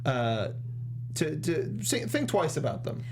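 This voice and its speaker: A young man co-hosting a casual film discussion, talking in a halting, rambling way and rephrasing as he goes, close on a studio mic. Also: on the high side, very animated, slight background noise. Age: young adult. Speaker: co-host of a film discussion show. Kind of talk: casual review chat. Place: small indoor studio. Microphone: close studio desk microphone with foam cover, headphones.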